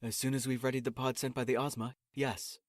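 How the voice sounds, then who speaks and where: A man's voice: medium pitch, little pitch movement, fairly quick, dry recording, studio quality, close to an everyday voice. A man playing an exasperated, officious assistant in a sci-fi comedy audio drama, formal, sarcastic and condescending. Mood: weary, explaining. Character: exasperated, officious male assistant prepping space recruits.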